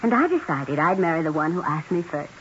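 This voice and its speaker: A woman's voice: deep voice, sing-song, a little fast. A woman playing a flirtatious, playful politician's wife in a 1970s radio drama. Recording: dull, hissy 1970s radio broadcast.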